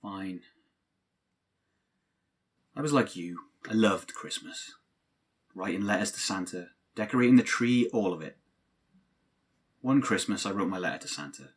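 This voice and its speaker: A man's voice: lowish voice, unhurried, long pauses, slightly rough. An actor playing a cynical, exasperated young man in an audio drama, with a sardonic, disbelieving way of talking. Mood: resigned, let down. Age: young adult. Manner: sardonic, incredulous. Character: cynical adult man, exasperated, let-down Christmas sceptic, best friend. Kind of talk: naturalistic audio drama dialogue.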